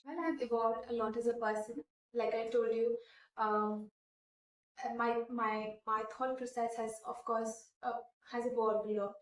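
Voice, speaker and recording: Young woman from India, Hindi first language, earnest and halting, fairly high voice, roomy echo, a bit far from the mic. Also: a little mellow. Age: 20s. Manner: earnest, hesitant with frequent fillers and restarts. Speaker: interviewee. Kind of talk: casual interview answers.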